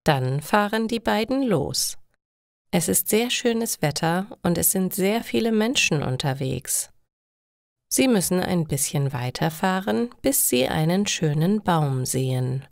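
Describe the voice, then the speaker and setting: A woman narrates simple stories for learners. Her voice sits slightly low and moves with lively intonation. She takes her time over each careful phrase, in a very dry recording. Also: unhurried, gravelly, dry recording. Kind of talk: scripted storytelling narration for learners.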